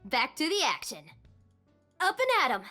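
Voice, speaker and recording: A woman's voice: high-pitched, normal speed, faint music behind, heavily acted. A woman playing a small, brash, reckless female yordle cannoneer who loves explosions, in video game voice lines. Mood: alert. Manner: brash, gung-ho, excitable.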